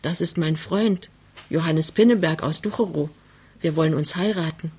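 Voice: medium pitch, moderate pace, slightly rough. A woman voicing a cheerful, affectionate young working-class daughter in an audiobook, light and teasing. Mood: tentative.

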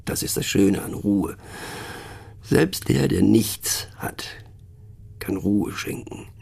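Radio-play narrator: low-pitched gravelly eccentric, wry and rambling, conspiratorial, halting delivery. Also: male voice, darkish tone, heavily acted. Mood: musing, hushed. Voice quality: gravelly, husky. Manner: conspiratorial, halting, often dropping to a near whisper. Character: musing, mocking, eccentric male narrator, rambling and self-doubting. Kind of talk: satirical radio monologue addressing the listener.

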